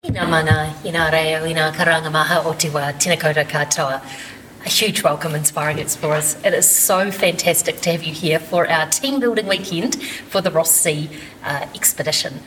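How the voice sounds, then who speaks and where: NZ-woman executive, lowish voice, upbeat welcome speech. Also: fairly even intonation, moderate pace, fairly bright tone, a little hiss or hum, low-quality recording. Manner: enthusiastic, a few fillers. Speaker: chief executive of a heritage charity.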